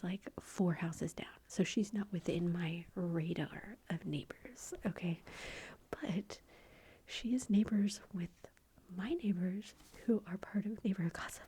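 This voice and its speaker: A middle-aged woman chatting in a soft, rambling whisper for her ASMR channel, confiding and talkative. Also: medium pitch, husky. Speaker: ASMR YouTuber.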